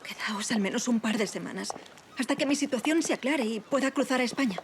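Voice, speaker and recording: A woman's voice: fairly high pitch, brisk, slight background noise, natural voice. An actress playing an anguished aristocratic woman of revolutionary France who tells her own story in formal, literary language in an audio drama. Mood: pleading, indignant. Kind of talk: dramatic audio fiction monologue and dialogue.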